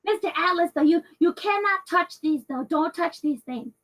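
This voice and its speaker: Female tabletop player, extremely high-pitched and sharp, swooping lively intonation. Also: normal speed. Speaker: tabletop role-playing game player.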